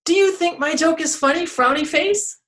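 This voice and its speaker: A woman voicing a chatty, joke-loving talking birch tree in a children's story. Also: normal speed. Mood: teasing. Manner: bubbly, high and bright, giggly puns.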